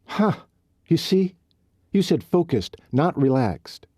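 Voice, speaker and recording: A man's voice: fairly high pitch, sing-song, takes it slowly, mellow, breathy edge, no room sound, clean studio sound, voice pushed up, heavily acted. A man voicing a fiery, sarcastic young rodeo woman. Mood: triumphant. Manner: sarcastic, heated; lilting foreign accent put on.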